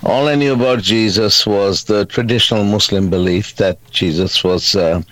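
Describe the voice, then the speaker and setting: A middle-aged man from Pakistan, a guest evangelist, telling his faith story in an interview, halting with many fillers and restarts. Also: on the low side, a bit bright, no echo, poor audio. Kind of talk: testimony interview.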